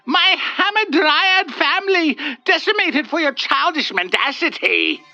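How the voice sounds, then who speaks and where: A storyteller voicing an enraged ancient tree spirit with a high-pitched, wailing delivery. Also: sing-song, moderate pace, vocal fry, bright, forceful tone, lo-fi sound, soft background music, full character voice. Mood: furious.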